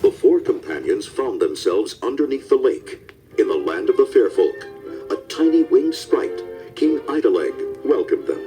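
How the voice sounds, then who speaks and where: A man's voice: lowish voice, very animated, unhurried, music in the background. A man giving scripted narration of a children's storybook as narrator.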